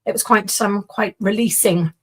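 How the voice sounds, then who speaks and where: A woman co-hosting a spiritual Q&A, hoarse tonight, agreeable and quick to affirm, reading out audience questions. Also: mid-range voice, fairly even intonation. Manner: warm, agreeable, often laughs and affirms. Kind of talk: casual conversational Q&A.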